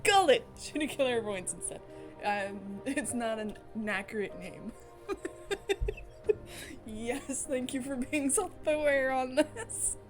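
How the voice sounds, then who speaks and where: Expressive woman streamer over prominent music. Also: high voice, takes it slowly. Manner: expressive, reactive, frequent exclamations. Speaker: streamer doing a playthrough. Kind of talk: casual game commentary, reading visual novel text aloud. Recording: music in the background. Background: game music.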